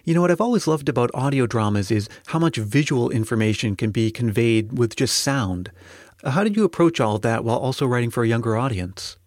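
A man hosting a podcast interview, asking prepared questions in a measured, articulate way. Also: medium pitch, animated, darkish tone, no room sound, studio quality.

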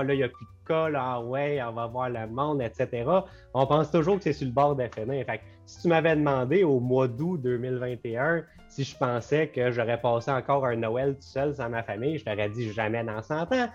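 A young man with a Quebec French accent and a mid-range voice, talking loosely and at length as a podcast guest, with English words slipped in. The recording sounds a bit dull and lo-fi. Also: medium pitch. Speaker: business co-owner and guest. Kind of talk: casual podcast conversation.

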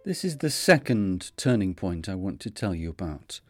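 A man in his fifties with a Manchester accent, hosting a podcast and narrating his own story in a measured, earnest way. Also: deep voice, big pitch swings, faint music behind. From North of England. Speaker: podcast host. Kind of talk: scripted reflective narration.

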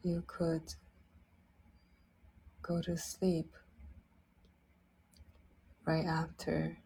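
A woman leading a guided sleep meditation in a soft, breathy, partly whispered voice, gently soothing, speaking in short phrases with long pauses. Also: sits low, monotone, a little hiss or hum, low-quality recording. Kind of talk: guided sleep meditation, slow instructional narration.

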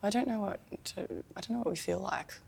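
A woman's voice: mid-range voice, fast, gravelly, darkish tone, a little hiss or hum. A woman co-hosting a podcast, chatting casually with a chesty cough and a voice that is going, often trailing off hesitantly. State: chesty cough, losing her voice. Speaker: podcast co-host. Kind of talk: casual chat.

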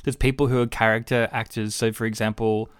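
A man's voice: lowish voice, little pitch movement, brisk, clear, resonant tone, no echo, clean studio sound. A man co-hosting a podcast in casual chat, rambling with plenty of fillers and restarts. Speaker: podcast co-host.

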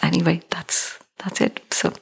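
An Irish woman, an actress from Ireland, talking thoughtfully in an interview and laughing softly between thoughts. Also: lowish voice, little pitch movement, normal speed, gravelly, slightly rough. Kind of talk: reflective conversational interview.

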